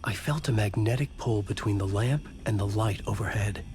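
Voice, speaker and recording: An actor playing a troubled, brooding writer in a video game, with a terse, grave delivery. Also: male voice, fairly low pitch, moderate pace, music in the background, lightly acted. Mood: brooding, wondering. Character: troubled, trapped male writer, brooding and determined. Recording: clear ambient noise behind voice. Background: game ambience.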